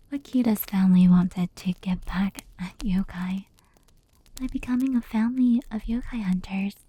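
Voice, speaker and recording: A woman voicing a gentle fox demon in whispered ASMR storytelling, soft, breathy and intimate. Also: mid-range voice, poor audio. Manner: slow, hushed, intimate delivery. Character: gentle, affectionate female fox demon telling spooky tales.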